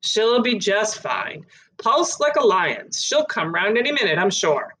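A woman voicing a kindly male police sergeant in a storybook reading, slow and soothing. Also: mid-range voice, very animated, fairly bright tone, slight background noise, rough recording. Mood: reassuring.

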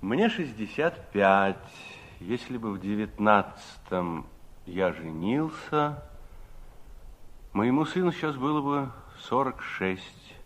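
An actor playing a kindly, wistful man of sixty-five, musing and gently teasing, in a 1960s Soviet radio play. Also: on the low side, big pitch swings, slow. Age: older. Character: kindly, wistful, teasing 65-year-old veteran revolutionary.

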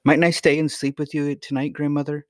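A man's voice: on the high side, little pitch movement, brisk, no echo, studio quality, pitched above the performer's own voice, natural voice. A man voicing an eager, polite young princess who speaks earnestly in a storybook reading. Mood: curious, polite. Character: eager, polite little princess, curious and easily puzzled. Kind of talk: acted dialogue in a children's fairy tale reading.

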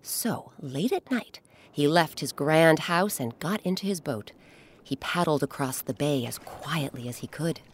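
Expressive, low-pitched woman storyteller. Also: a little hiss or hum. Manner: dramatic, expressive, deliberate phrasing. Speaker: host and narrator. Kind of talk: scripted children's storytelling narration. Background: music.

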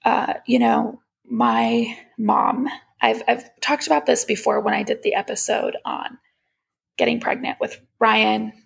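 Young woman podcasting off the cuff, tons of vocal fry, kinda husky, candid and chatty. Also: a little high, flat delivery, a little slow. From Iran. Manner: candid, reflective, conversational. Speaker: podcast host. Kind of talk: casual personal monologue.

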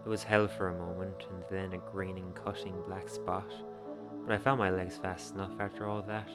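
Low-voiced, lovelorn young man in a radio play, acted by a man. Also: level tone, normal speed. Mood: regretful. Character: lovelorn, blunt young man recalling a doomed romance. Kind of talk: poetic dark-comic radio play narration. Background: music.

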